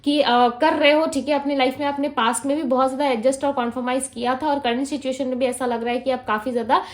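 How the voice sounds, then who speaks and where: A woman with a high, light voice reads tarot cards straight to her viewers. She talks in a gentle, reassuring way, blends Hindi with English, and keeps tagging her points with "ठीक है". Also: fairly even intonation, quick pace. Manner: Hindi mixed with English, frequent "ठीक है" fillers. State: throat feels heavy. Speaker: tarot reader.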